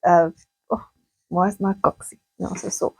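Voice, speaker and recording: South African woman with a slightly croaky voice. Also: fairly low pitch, level tone, moderate pace, a little mellow, low-quality recording. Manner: rambling, repeats words and trails into asides. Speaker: video blogger. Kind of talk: casual chatty vlog monologue.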